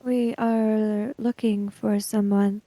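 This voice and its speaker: A woman's voice: mid-range voice, flat delivery, slow pace, mellow, no room sound. A woman playing a tabletop roleplaying game, speaking and narrating for her character. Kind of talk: roleplay with third-person narration.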